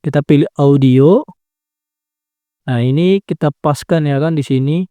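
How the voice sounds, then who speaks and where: Calm man talking through an edit. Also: on the high side, unhurried, dry recording. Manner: calm instructing with frequent "nah" and self-corrections. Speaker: video editing tutor. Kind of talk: step-by-step screen tutorial.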